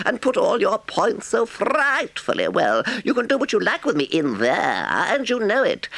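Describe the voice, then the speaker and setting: Conceited storybook toad, petulant, gushing, very high swooping pitch, drawn-out emphatic words. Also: male voice, vocal fry, fairly bright tone, no room sound, full character voice, low-quality recording. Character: conceited, effusive, wilful male toad, theatrically earnest.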